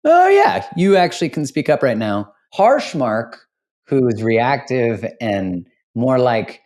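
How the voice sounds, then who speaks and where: A man's voice: mid-range voice, big pitch swings, slow, a little mellow. A man hosting a podcast, talking in a reflective, casual way, with easy swearing and laughter. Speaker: podcast host.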